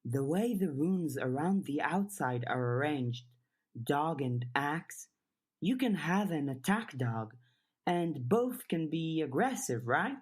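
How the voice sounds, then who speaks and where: A woman's voice: sits low, lively intonation, slow pace, husky, exaggerated voice. A woman voicing an eager, earnest girl in an audiobook, chatty and questioning, a little tentative. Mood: musing. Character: eager, earnest girl who loves puzzles, overthinking. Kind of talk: audiobook character dialogue.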